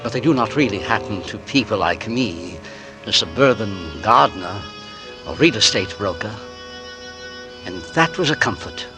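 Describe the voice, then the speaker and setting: An actor playing a polite, nervous husband who narrates a 1940s radio drama. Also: male voice, on the low side, lively intonation, normal speed, close to an everyday voice. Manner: genteel, polite, nervously hesitant. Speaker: narrator and lead. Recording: old radio broadcast with music.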